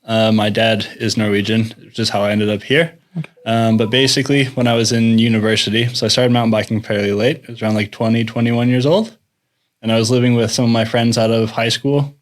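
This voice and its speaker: A man's voice: on the low side, steady tone, fairly quick. A young American man from Utah, chatting easily as a podcast guest and chuckling often. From Salt Lake City, Utah, USA. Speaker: guest, bike mechanic. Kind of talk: casual podcast interview.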